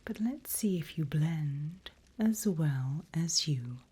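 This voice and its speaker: Breathy, whispering woman as a sly demon mentor. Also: low-pitched, expressive, full character voice. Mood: sly, ominous. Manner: slow, teasing, deliberate, hushed. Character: seasoned female demon mentor, vain, teasing, darkly playful. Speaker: demon guide training a newcomer. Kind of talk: whispered ASMR roleplay.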